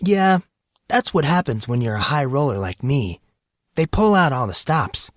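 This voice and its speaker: A man's voice: mid-range voice, sing-song, moderate pace, no room sound, clean studio sound, pitched above the performer's own voice, lightly acted. A man voicing a joking, cocky fourteen-year-old boy with a breezy, playful manner in an audiobook. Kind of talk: audiobook character voice.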